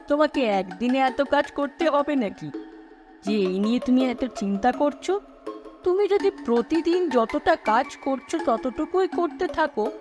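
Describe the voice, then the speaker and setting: A woman voicing an earnest, worrying husband and father in a cartoon story, with exclamatory delivery. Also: sits high. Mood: reassuring.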